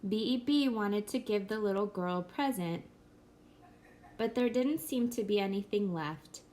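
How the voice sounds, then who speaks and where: A woman reading a children's picture book aloud in a gentle storybook manner. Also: mid-range voice, animated, a little slow.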